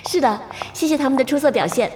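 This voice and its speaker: A woman playing a composed, perceptive young gamer in an audio drama, speaking in a measured, gentle way. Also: high-pitched, lively intonation, a little hiss or hum. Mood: warm, moved.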